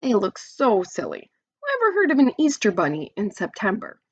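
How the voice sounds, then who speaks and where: Storybook schoolgirl, older sister, voiced by a woman: exasperated, pleading, easily embarrassed, very animated delivery. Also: mid-range voice, moderate pace, breathy edge, no room sound, muffled recording. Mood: whiny, complaining. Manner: complaining, pleading.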